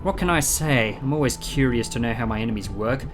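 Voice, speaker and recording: A man's voice: medium pitch, a little fast, very noisy, lightly acted. An actor playing a quirky, self-assured male time traveller in an audio drama, wry and breezy in manner. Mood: wry, confident.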